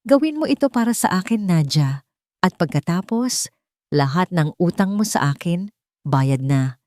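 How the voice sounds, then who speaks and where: A woman's voice: on the low side, expressive, normal speed, darkish tone, dry recording, studio quality, lightly acted. A woman playing a wealthy male CEO who speaks in a cold, controlled, insistent manner in an audio romance.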